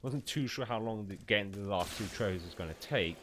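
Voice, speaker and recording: Man, stuffy head cold, rambling game-stream chatter, swears, steady hiss behind. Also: on the low side, fairly quick. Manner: rambling, self-correcting, swears casually. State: congested from a cold. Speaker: streamer playing a video game. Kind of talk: casual gameplay commentary.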